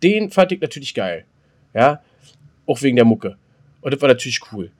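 A man with a German accent chatting casually as a podcast co-host, teasing and quick to interject. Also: medium pitch, sing-song. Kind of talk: casual, bantering chat.

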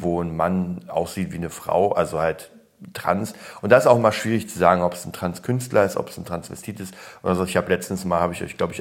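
A man from Berlin, born in Poland, hosting a podcast and chatting casually with lots of restarts and fillers. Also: low voice. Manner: rambling, frequent restarts and fillers, blunt. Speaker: podcast host. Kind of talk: casual opinion chat.

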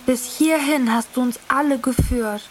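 An actress playing a young girl who softly and tenderly pleads with her beloved to give up, in a radio drama. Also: high-pitched, normal speed, slight background noise, lightly acted. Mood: tender pleading.

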